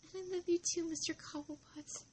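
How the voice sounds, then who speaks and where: A woman voicing a shy, fragile young girl in a fan comic drama, sweetly devoted, halting and emotional. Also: very high-pitched, fairly even intonation, pitched above the performer's own voice. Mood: loving.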